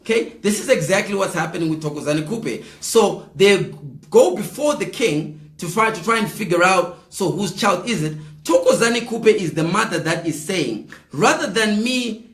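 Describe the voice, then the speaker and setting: A man in his thirties hosting a live political commentary stream, slightly hoarse, chatty and speaking straight to viewers. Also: high voice, lively intonation, normal speed, a bit bright. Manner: chatty, addresses viewers directly, repeats calls. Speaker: host of an online political show. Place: indoor room, seated at a table. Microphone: fixed camera, mid-distance. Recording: roomy echo.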